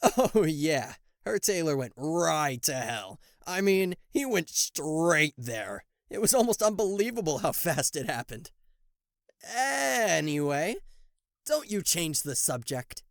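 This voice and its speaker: Guy voicing a sarcastic king of hell, dramatic and huffy, really animated, dry close sound, asmr roleplay. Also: fairly high pitch, slow, vocal fry, no room sound, heavily acted. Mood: offended, pompous. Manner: theatrical, sarcastic, indignant, stammering when flustered. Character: cocky, easily flustered male devil, king of hell. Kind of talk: ASMR roleplay acting addressed to the listener.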